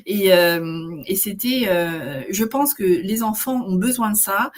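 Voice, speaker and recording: A woman's voice: mid-range voice, slow. A woman in her forties telling her story in a casual interview, chatty with many fillers. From Netherlands. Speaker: guest, maternity carer.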